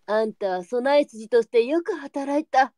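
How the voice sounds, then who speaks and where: A woman's voice: a little high, unhurried, mellow, dry recording, clean studio sound, lightly acted. A woman playing a worldly, firm but kindly brothel madam, composed and matter-of-fact, in a Japanese period audio drama. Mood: composed, advising.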